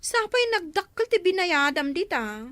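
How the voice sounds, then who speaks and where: A woman with an Ilocano accent playing a cheeky, nosy, sharp-tongued younger sister in a comedy drama. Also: very high voice, very animated, normal speed, no echo, heavily acted. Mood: teasing.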